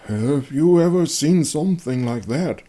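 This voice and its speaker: A man as an anxious, higher-voiced village headman. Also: lively intonation, measured pace, dark tone. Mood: worried. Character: anxious village headman, quiet, fearful, questioning.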